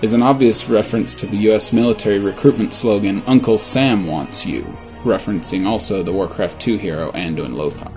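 Low-pitched man narrating. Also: fairly quick, hardly any pauses, darkish tone, soft background music. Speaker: narrator of a video about game references. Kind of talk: scripted narration.

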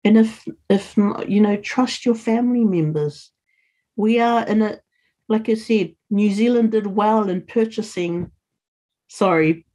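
A woman's voice: mid-range voice, unhurried, warm tone. A middle-aged woman speaking earnestly as a guest on a video call, urging listeners on. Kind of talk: earnest interview talk.

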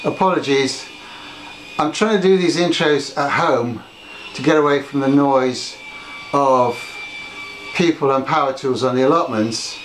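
Older gardener talking to camera, casual storyteller, mid pitch, roomy sound. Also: male voice, unhurried, long pauses, a bit bright, a little hiss or hum. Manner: chatty, storytelling, gesturing with his hands. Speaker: gardening vlogger. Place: home conservatory, indoors.

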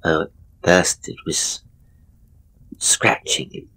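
A man voicing an ailing, frightened married woman who speaks in a halting, hushed way in a horror story. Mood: hushed, uneasy.

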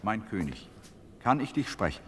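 An actor playing a stern, solemn prophet in a radio play, grave and admonishing. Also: male voice, fairly low pitch, sing-song, moderate pace, in a large hall.

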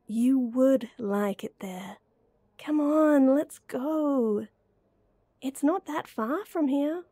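A woman acting as a young female best friend in a roleplay audio, with a high, mellow voice. She is playful, teasing and coaxing, and takes her time very slowly in a close, dry recording. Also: no room sound. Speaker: voice actor. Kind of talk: acted roleplay audio addressed to the listener.

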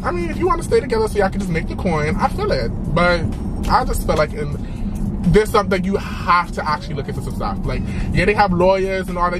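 A young man chatting casually to the camera while driving, sassy and animated, his pitch swinging up high for emphasis. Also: poor audio. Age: young adult. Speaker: vlogger. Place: inside a car with a sunroof. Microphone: camera mounted on dashboard.

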